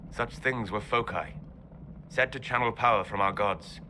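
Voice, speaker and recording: An actor playing a calm, learned male elf mage who speaks in a measured, scholarly way in a video game cutscene. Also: lowish voice, a little hiss or hum. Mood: grave, measured. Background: game score music.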